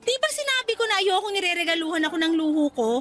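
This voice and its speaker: A woman's voice: very high-pitched, expressive, quick pace, fairly bright tone. A woman acting an emotive, principled young Filipina in a radio drama, testy but easily delighted. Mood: indignant.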